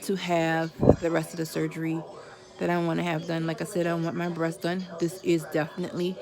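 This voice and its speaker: A woman's voice: on the low side, completely flat delivery, a little fast, a crowd in the background. A woman of forty giving viewers a candid personal update, chatting casually with religious asides. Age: 40s. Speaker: vlogger.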